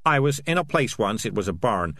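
A man's voice: lowish voice, very animated, brisk, sharp tone, no echo, exaggerated voice. A slippery talking tomcat played by a man in a storybook reading. He hedges, bluffs and stammers his way through excuses, looking out for himself, with swooping intonation. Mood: uneasy. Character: shifty, evasive, self-serving male talking cat.

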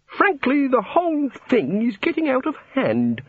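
A man plays a vague, fussy god in a storybook, high and swooping in pitch. He rambles and dithers like a distracted, bewildered inventor. The recording is a little dull. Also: wildly swooping pitch, measured pace, slightly rough, no room sound, heavily acted. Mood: flustered. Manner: dithering, absent-minded, rambling.

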